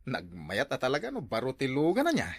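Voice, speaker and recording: A man's voice: mid-range voice, huge pitch swings, a little fast, no room sound. A man with an Ilocano accent playing a boastful, fussy car owner in a village comedy drama.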